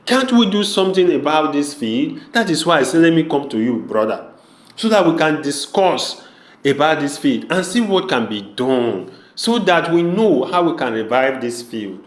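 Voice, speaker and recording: Earnest troubled brother played by a man, high voice, emphatic and repetitive pleading, roomy echo. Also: big pitch swings, normal speed. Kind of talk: amateur audio drama acting.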